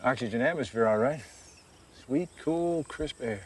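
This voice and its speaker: An actor playing a weary, dutiful middle-aged military pilot with clipped, resigned speech in a science fiction TV drama. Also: male voice, mid-range voice, normal speed, slight background noise, lightly acted. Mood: measured, wary.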